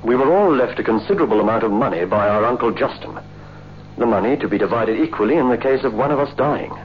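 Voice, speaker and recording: An actor playing a sober man in a 1960s radio drama, speaking in a measured, grave way. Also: mid-range voice, a little hiss or hum, lightly acted. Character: sober man explaining a family murder plot. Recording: dull old radio recording, faint music.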